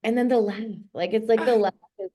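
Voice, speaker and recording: A woman hosting an online launch event, thanking a guest in a relaxed, filler-heavy, chuckling way. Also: on the high side, fairly quick. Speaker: host.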